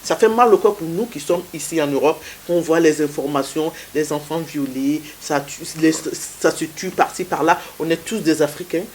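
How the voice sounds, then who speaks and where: Woman interviewer, lowish voice, emphatic questions, light hiss behind. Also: moderate pace, few pauses, darkish tone.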